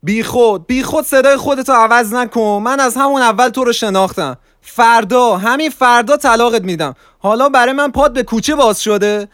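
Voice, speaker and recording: Guy doing a furious jealous merchant yelling, strained high voice, dry close audio. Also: clean tone, dry recording. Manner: bellowing, furious accusations. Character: enraged middle-aged bazaar merchant, jealous husband.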